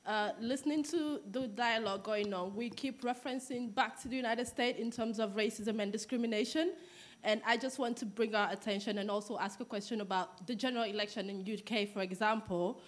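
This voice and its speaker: A woman's voice: fairly high pitch, brisk. A woman from Europe making an earnest, insistent comment and question from the audience of a panel discussion. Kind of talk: spontaneous public comment and question.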